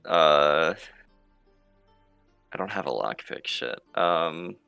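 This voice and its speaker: A man's voice: a bass voice, animated, very slow, creaky, pushed and bright, clean tone, no room sound, faint music behind. A man playing a tabletop roleplaying game, chatting casually and thinking aloud in a hesitant way.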